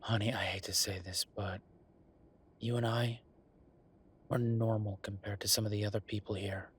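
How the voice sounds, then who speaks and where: A man's voice: lowish voice, little pitch movement, moderate pace, breathy edge. A man playing an affectionate, teasing werewolf bureaucrat in an intimate romantic audio roleplay, speaking conversationally with murmured asides. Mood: earnest, musing. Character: Viktor, affectionate teasing male werewolf bureaucrat, weary but playful.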